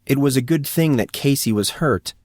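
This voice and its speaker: A man's voice: mid-range voice, normal speed, darkish tone, no room sound, clean studio sound. A man reading scripted story narration as an audiobook narrator.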